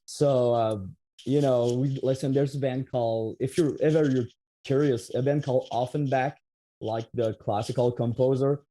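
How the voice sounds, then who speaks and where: A man in his thirties from Quebec, speaking English with a French accent, answers interview questions in a loose, rambling way. His voice is very dark and mellow, sitting at a middling pitch. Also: fairly even intonation, moderate pace. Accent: Québécois French. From Quebec, Canada. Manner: rambling, many restarts and fillers. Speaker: metal band musician, guest.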